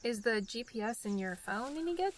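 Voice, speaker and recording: An actress as a dry, practical young companion. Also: female voice, on the high side, animated, a little fast, lightly acted. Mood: curious, hushed. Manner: dry, matter-of-fact, slightly prim. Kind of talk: radio drama acting.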